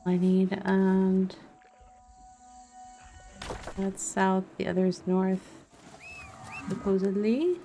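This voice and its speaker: A woman's voice: medium pitch, fairly even intonation, very slow, pauses often, warm tone. A woman doing casual live gameplay commentary, muttering wry asides as she plays. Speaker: game streamer. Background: game music.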